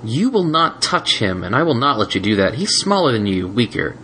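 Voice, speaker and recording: A man voicing a gentle, soft-spoken stallion who speaks earnestly, a little above his own voice. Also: medium pitch, very animated, fairly quick, a little hiss or hum, pitched above the performer's own voice. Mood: fierce. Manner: earnest, emotional.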